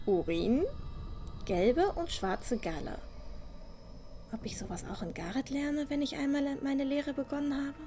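A woman's voice: high voice, darkish tone, a little hiss or hum. A woman playing a curious, squeamish young apprentice in a fan-made fantasy radio play, thinking aloud to herself. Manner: thinking aloud, with gasps and sounds of disgust.

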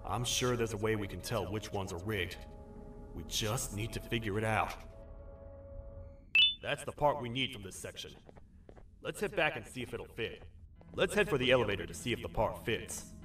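A man's voice: on the low side, animated, normal speed, pauses often, distant mic, over music, close to an everyday voice. A man playing a massive, loyal toad sheriff in a video game, earnest and folksy like a frontier lawman. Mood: businesslike. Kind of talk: video game character acting.

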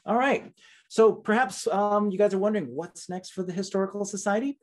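A man's voice: sits high, a little fast. A middle-aged man hosting a virtual event, addressing viewers informally and courteously. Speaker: emcee, host. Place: indoors, small room with plain backdrop.

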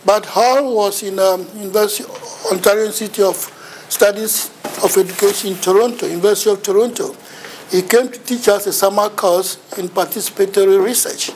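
A man's voice: high voice, steady tone, moderate pace, a little hiss or hum, low-quality recording. A middle-aged man with a Ghanaian accent giving a seminar lecture in a lecture room, telling his story with self-mocking humour. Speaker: visiting university lecturer. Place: lecture room in front of a projection screen.

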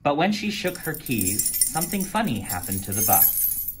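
A man reading a children's picture book aloud indoors, with lively storytelling. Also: on the high side, moderate pace, background noise. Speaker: county environmental staff reading to kids. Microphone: camera filming book over shoulder.

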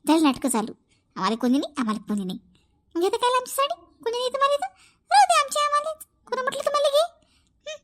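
A woman's voice: very high-pitched, sing-song, quick pace, a little hiss or hum. A woman voicing a touchy, possessive middle-aged village aunt in a cartoon family comedy, nagging and repeating herself with a Varhadi Marathi accent. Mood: offended, sarcastic. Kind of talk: amateur animated family comedy acting.

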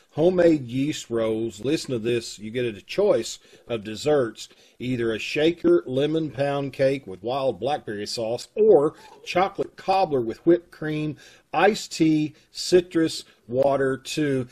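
A middle-aged man with a husky voice, making folksy, casual announcements to an online audience over a video call. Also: medium pitch, unhurried, a little mellow. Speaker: troupe host making announcements. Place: room at home, on video call. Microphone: video call microphone.

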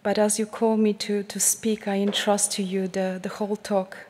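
A religious sister with a Polish accent giving a heartfelt talk to a congregation, slowing to a hushed tone when she prays. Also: mid-range voice, level tone. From Poland. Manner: slow, heartfelt, drops to a hushed near-whisper in prayer. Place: large church shrine. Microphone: headset mic. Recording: long church reverb.

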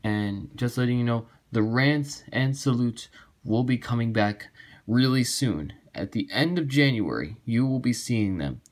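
A man with a mid-pitched voice hosts his own amateur video. He talks casually and directly to viewers, as in a simple introduction or sign-off. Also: lively intonation, unhurried. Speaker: amateur voice-acting video host.

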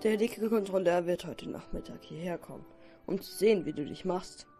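A woman voicing a kindly, calm male steam engine in a cartoon dub. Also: fairly low pitch, moderate pace, over music, natural voice. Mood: reassuring.

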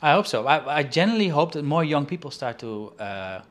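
A man in his forties from the Netherlands, talking in a casual interview from a shelf-lined room over a video call, pausing often with fillers and restarts. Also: fairly high pitch, sing-song. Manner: halting, with frequent fillers and restarts. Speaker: guest artist and angler. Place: indoor studio room with shelves.

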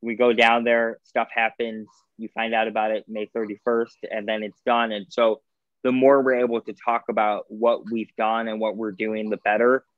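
A man's voice: fairly low pitch, flat delivery, moderate pace, dry recording. Earnest man on a muffled video call. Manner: earnest, self-aware, rambling. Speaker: state senator. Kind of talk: virtual town hall discussion.

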